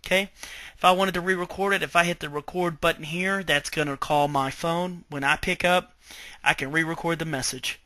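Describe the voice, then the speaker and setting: Bright-voiced man giving software training. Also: fairly high pitch, steady tone, brisk, no room sound. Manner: step-by-step explaining, checking in with okay. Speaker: IT trainer presenting software tutorial. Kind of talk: informal instructional screen-share walkthrough.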